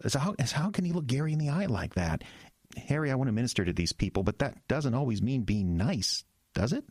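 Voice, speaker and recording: An actor playing an earnest, kind young small-town pastor in an audio drama, speaking hesitantly, stammering and trailing off. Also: male voice, mid-range voice, sing-song, fairly quick, darkish tone, no room sound, clean studio sound. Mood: troubled, searching.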